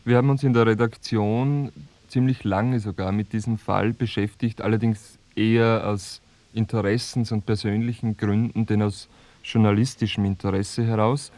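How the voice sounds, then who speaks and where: An actor playing a sober, matter-of-fact radio journalist, measured and deadpan in a mock-documentary report. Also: male voice, fairly low pitch, dry recording, lightly acted. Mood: sober, factual. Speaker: reporter-narrator.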